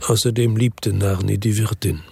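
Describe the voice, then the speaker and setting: A man's voice: fairly even intonation, moderate pace, mellow. An actor playing a weary hard-boiled investigator who narrates his own story in a radio drama, terse and matter-of-fact. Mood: bitter, resigned. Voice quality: low, gravelly, husky. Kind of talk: scripted first-person radio drama narration. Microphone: close dry studio microphone.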